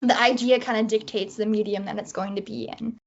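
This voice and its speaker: A woman's voice: on the high side, fairly even intonation, brisk, creaky. A woman speaking reflectively and a little tentatively in a casual conversation about her work. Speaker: panel participant, creative writer. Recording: dull lo-fi audio.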